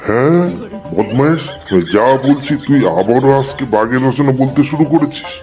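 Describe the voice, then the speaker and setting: A man's voice: mid-range voice, moderate pace, darkish tone, faint music behind, lightly acted. An actor playing an exasperated male schoolteacher in a comedy cartoon, bent on catching out his pupils. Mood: scheming, annoyed.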